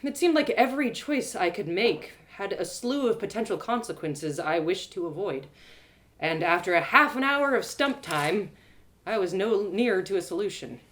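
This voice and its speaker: A woman narrating her own fantasy audio drama in first person, animated and bubbly, with excited exclamations and hushed asides. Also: medium pitch, normal speed. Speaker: storyteller and host.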